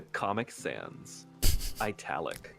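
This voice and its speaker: A man's voice: low voice, wildly swooping pitch, drawn-out words, creaky, fairly bright tone, faint music behind. A man in casual livestream banter, a guest who talks in a joking, playfully dramatic way. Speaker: stream guest and artist.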